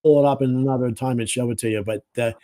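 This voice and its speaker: A man's voice: mid-range voice, steady tone, quick pace. A man hosting a casual interview over a video call, asking friendly, rambling questions. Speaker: host.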